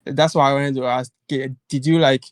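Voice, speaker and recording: A man with a French accent, a native French speaker, calling in with a halting, apologetic question full of fillers. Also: mid-range voice, steady tone, no room sound, studio quality. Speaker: caller. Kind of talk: casual call-in question.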